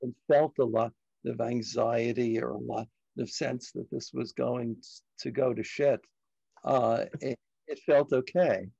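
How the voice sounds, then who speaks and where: Older male host from Brooklyn, Yiddish first language, gravelly and deliberate, on a slightly muffled video call. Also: medium pitch, fairly even intonation, mellow, no room sound. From Brooklyn, New York. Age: older, about 70s. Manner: halting, deliberate, frank. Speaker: discussion host. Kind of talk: reflective group discussion. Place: dim home study, indoors. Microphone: computer on video call.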